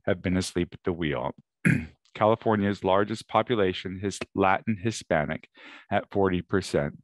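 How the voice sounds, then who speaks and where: A middle-aged man, a professor, giving a formal, measured award presentation speech. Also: sits low, dry recording.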